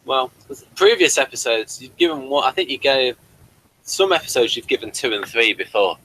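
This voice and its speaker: A man's voice: medium pitch, expressive, normal speed, sharp tone, low-quality recording. A man co-hosting a casual chat that reviews a TV episode. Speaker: review show co-host.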